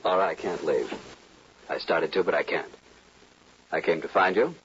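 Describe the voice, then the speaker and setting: An actor playing a stiff, proud, self-centred gentleman suitor with a formal manner in an old-time radio western. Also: deep voice, normal speed, lightly acted. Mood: earnest, strained. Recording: dull, noisy old radio recording.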